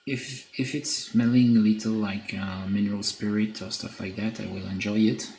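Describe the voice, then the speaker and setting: Man, French accent, low gravelly voice, unhurried, hesitant, echoey room, faint noise. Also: fairly even intonation, a little mellow. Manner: hesitant, many filler pauses, reads chat aloud. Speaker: miniature painter streaming. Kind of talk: casual live-stream chat while painting.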